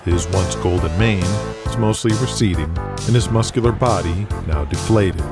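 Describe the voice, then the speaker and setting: A man giving scripted dramatic narration with a measured storytelling manner. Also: lowish voice.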